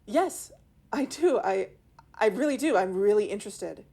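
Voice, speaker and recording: A woman's voice: medium pitch, expressive, moderate pace, gravelly, mellow. Hesitant, placating woman. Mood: anxious to please. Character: tense, apologetic, eager-to-please female group member. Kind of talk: naturalistic audio drama acting.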